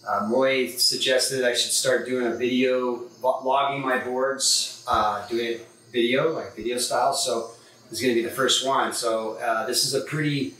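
A man's voice: mid-range voice, fairly even intonation, normal speed, fairly bright tone. A middle-aged man from San Diego, a surfboard shaper, talking casually and rambling with fillers as he reviews a board in his workshop. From San Diego, California. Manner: loose and rambling, many fillers and restarts. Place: surfboard workshop room, indoors. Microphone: camera mic from a few meters away. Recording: echoey room, distant camera mic, faint noise.

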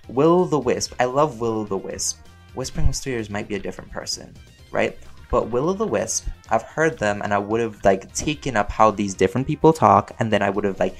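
Expressive, rambling man over background music. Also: fairly low pitch. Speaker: voice actor and audio roleplay creator answering fan questions. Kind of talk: casual chatty Q&A.

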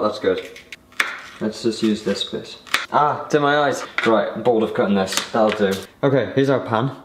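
A young man in a home kitchen, chatting casually and with self-mocking bemusement as he fumbles through a recipe. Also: mid-range voice. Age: young adult. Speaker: vlogger. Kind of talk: casual cooking vlog. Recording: cooking noise behind.

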